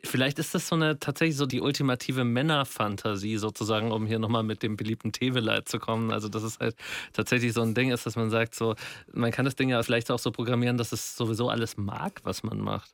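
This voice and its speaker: A man's voice: mid-range voice, fairly even intonation, quick pace, gravelly, dry recording. A man thinking aloud in a casual, reflective discussion, musing in colloquial language.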